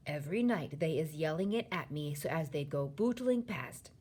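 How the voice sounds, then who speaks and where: Woman voicing a gentle, husky storybook giant. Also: low-pitched, lively intonation, moderate pace. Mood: weary, firm. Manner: muddled folksy grammar and invented words. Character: gentle, stubborn, gloomy old male giant. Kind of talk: children's storybook character reading.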